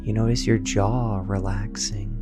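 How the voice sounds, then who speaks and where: Man, meditation guide, soft soothing delivery, very slow with long pauses, clear singing bowl music behind. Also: on the low side, level tone. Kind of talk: guided sleep meditation narration. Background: meditation music with singing bowls.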